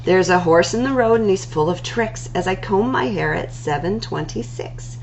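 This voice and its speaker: A woman reading rhyming picture books aloud as a storytime host, in an expressive, singsong delivery with drawn-out words. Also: fairly low pitch, a little hiss or hum, rough recording.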